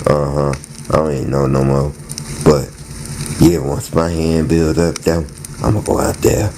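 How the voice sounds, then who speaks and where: Man, slow delivery, creaky voice, slangy repetitive casual monologue, noisy recording. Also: rumbling low. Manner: repetitive, slangy, restarting phrases. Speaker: narrator and storyteller. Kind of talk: rambling casual spoken monologue.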